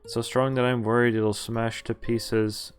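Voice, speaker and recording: A man's voice: medium pitch, level tone, measured pace. A young man reading a visual novel aloud on a live stream with casual asides, speaking into a headset. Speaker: game streamer. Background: game music.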